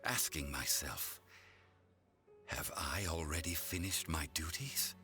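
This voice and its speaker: A very deep, composed immortal god for a video game. He speaks formally and with grave dignity, his tone bright-edged over faint music. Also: expressive, heavily acted. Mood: reflective. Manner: formal, measured, archaic. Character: ancient male god, solemn, composed and dignified. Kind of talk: video game cutscene acting.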